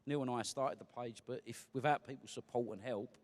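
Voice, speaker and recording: A man explains his fan group's community work in a steady, mid-pitched voice. He talks earnestly and plainly, pausing now and then to gather his words. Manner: earnest, plain-spoken, hesitant fillers. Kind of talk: interview answers.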